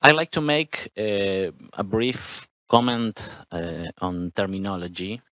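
Low-voiced Argentine man at a panel. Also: fairly bright tone, no echo. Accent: Argentine Spanish. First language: Spanish. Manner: careful, halting non-native English. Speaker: human rights advocate, panellist. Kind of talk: prepared panel remarks. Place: conference hall at a panel table. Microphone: handheld microphone.

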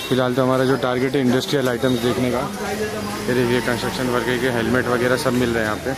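A man's voice: mid-range voice, completely flat delivery, fast talker, over a noisy crowd. A man with a Mumbai accent giving a casual, informal walking-tour commentary as a vlogger, often repeating himself as he points things out. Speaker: vlogger guiding a market tour. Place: outdoors on a busy market street. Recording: loud street traffic and ambience. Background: traffic and urban street noise.

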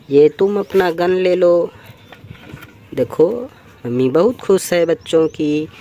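A man's voice: on the high side, warm tone, a little hiss or hum. A man improvising children's toy-play narration in an exclamatory, sing-song way full of repeated interjections. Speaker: toy channel presenter.

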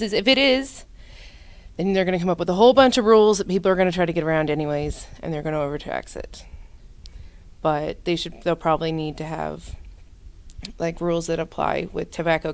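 A woman's voice: sits low, a little fast. A woman in her twenties giving casual opinions into a handheld interview microphone indoors. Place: indoor room with brick wall. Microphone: handheld microphone held out by interviewer.

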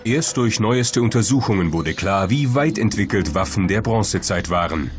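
A man's voice: fairly low pitch, lively intonation, moderate pace. A man reading scripted narration as the narrator of a history documentary. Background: music bed.

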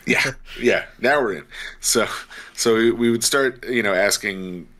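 A man's voice: on the low side, measured pace, fairly bright tone. A man in his thirties talking casually as a player in a tabletop game. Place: small home room, video call. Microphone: headset on a video call.

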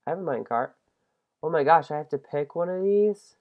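A young man with a head cold and a congested voice, hosting a casual game let's-play, excitable and self-mocking. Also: on the high side, fairly quick, phone-quality sound. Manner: excitable, self-deprecating, shrieks at mistakes. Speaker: gaming channel host.

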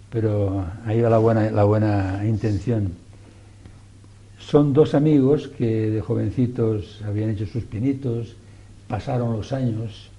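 An older man telling a joke in a relaxed, chatty manner, his voice deeply dark and mellow. His pitch sits in the usual middle range for a man, and he pauses and restarts now and then as he builds the story. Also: muffled recording. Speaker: show regular telling a joke. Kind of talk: casual chat and joke telling. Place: indoor TV studio set.

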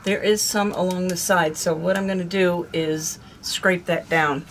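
An older woman with a hoarse throat, chatting casually through a cooking vlog in a small camper kitchen. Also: on the low side, steady tone, a little slow, slight background noise. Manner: chatty, homey, rambling. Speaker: cooking vlogger.

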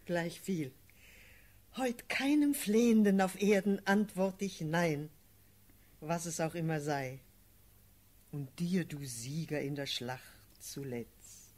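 An actress playing a gracious, motherly noblewoman in a classical radio drama, with a breathy voice and measured, theatrical delivery. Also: on the low side, darkish tone. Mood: relieved, gracious. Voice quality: breathy, husky.

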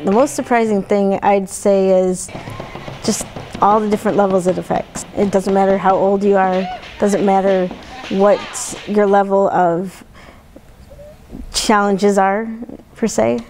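A woman in her thirties speaks reflectively in an interview. Her pitch stays very even, and music plays clearly behind her. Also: unhurried. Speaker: music therapist being interviewed. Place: indoor room.

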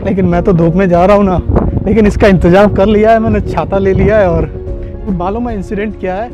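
A man's voice: high-pitched, fairly even intonation, brisk, over music. A young man from India chatting to the camera as he walks the streets for his travel vlog, with a phone held at arm's length and an earbud mic. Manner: chatty, talks straight to the camera while walking. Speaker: vlogger. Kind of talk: casual travel vlog narration. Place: sunny city streets and a bus stop, outdoors. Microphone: wireless earbud mic, phone held at arm's length.

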